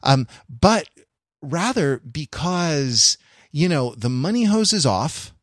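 A man's voice: on the high side, big pitch swings, takes it slowly, dry recording, clean studio sound. A man co-hosting a casual podcast discussion, rambling and often restarting his phrases mid-thought. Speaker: podcast co-host.

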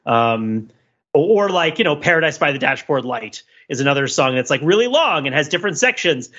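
A man's voice: on the high side, very animated, brisk, a bit bright, lo-fi sound. A man talking casually as a podcast panelist, rambling and often interrupting himself as he searches for words. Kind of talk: casual discussion.

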